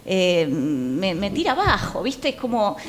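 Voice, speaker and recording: A woman's voice: medium pitch, big pitch swings, unhurried. In a reverberant hall with faint background noise, a woman with a Rioplatense Argentine accent talks as a panel guest. She is colloquial, stopping and starting as she slips into asides. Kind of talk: informal panel talk. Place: theatre, speaking on stage.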